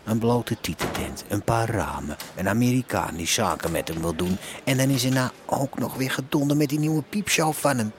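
A man's voice: mid-range voice, animated, moderate pace, hardly any pauses, a little hiss or hum, close to an everyday voice. An actor playing a wry, gossipy male street vendor, commenting in a radio drama.

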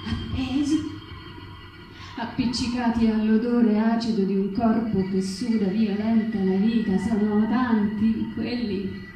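Female stage role: imprisoned rebel, frightened and defiant, high mellow voice, incantatory delivery, very echoey. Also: fairly even intonation. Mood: grave, anguished. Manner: slow, incantatory, repeating phrases. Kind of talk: dramatic theatrical monologue with live guitar, half-sung in places. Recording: very echoey, distant stage-like sound. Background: live guitar music.

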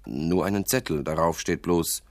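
A man's voice: sits low, moderate pace, no echo, studio quality. An actor playing an earnest, worried young male boxer in radio play dialogue, speaking in a matter-of-fact way. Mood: earnest, insistent.

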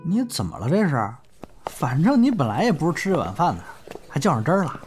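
A male actor plays a genial, streetwise young man with a northern Chinese accent. He talks colloquially and placatingly in a slightly high, very lively voice, over clear music in an audio drama. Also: fairly quick. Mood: teasing. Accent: northern Mainland Chinese. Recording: music behind the voice.

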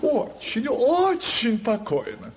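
A man's voice: very high-pitched, huge pitch swings, slow pace, full character voice. A man playing a boastful, fawning provincial landowner in an audio drama, effusive and deferential, eager to impress. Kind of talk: theatrical audio drama acting.

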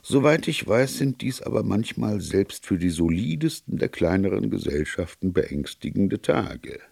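A man's voice: low-pitched, big pitch swings, measured pace, gravelly, darkish tone, slightly rough, dry recording, voice pushed up, exaggerated voice. A man voicing a courteous, calculating police chief who speaks formally and deferentially in an audiobook story. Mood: feigned casualness.

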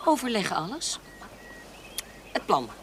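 An actress playing a shrewd, composed baroness in a period audio drama, polite, measured and genteel. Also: female voice, medium pitch, sing-song, fairly bright tone, a little hiss or hum, full character voice. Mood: firm.

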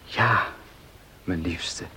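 An actor playing a devoted, grieving husband in a period radio drama, speaking slowly and earnestly in a hushed, breathy voice. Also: male voice, a little hiss or hum, heavily acted. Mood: sorrowful, tender. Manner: slow, hushed, earnest, emotional.

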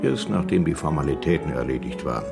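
An unsentimental male director in a radio play, sure of himself and dismissive. The voice is very low with a creaky edge, and music sits behind it. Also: lively intonation, fast talker, full character voice. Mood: breezy, self-satisfied. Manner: brusque, worldly, dismissive. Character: brisk, unsentimental, self-assured male company director. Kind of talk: 1980s German radio drama acting.

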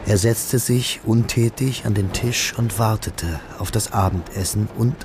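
A composed storyteller narrates a literary radio drama. His voice is on the low side and measured, with clear ambient noise behind it. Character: composed male third-person narrator of a literary tale.

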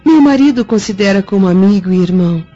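An actress playing a gentle, loyal noblewoman who speaks with formal, polite dignity in a radio drama. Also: mid-range voice, lively intonation, fast, soft background music, natural voice. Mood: polite, trusting. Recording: dull lo-fi radio drama recording.

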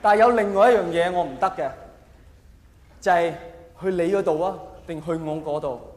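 A man with a Hong Kong accent performing a self-mocking, mock-earnest stand-up comedy monologue in an animated way. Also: sits high, normal speed. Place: large live venue with long reverb. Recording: echoey hall, faint noise.

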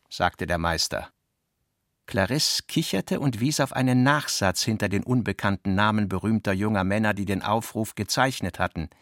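A measured, articulate male narrator for a literary radio play. His mid-pitched voice shifts into quoted dialogue, in a very dry studio recording. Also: a bit bright, no echo. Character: literary male narrator recounting and quoting his guest's talk.

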